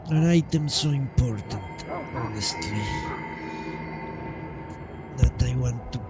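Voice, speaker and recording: Loud game music plays under a man commenting casually on his own play. He speaks slowly at a middling pitch, thinking aloud. His delivery is hesitant and muttered, often trailing off. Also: animated, muffled recording. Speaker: game streamer. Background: loud video game music.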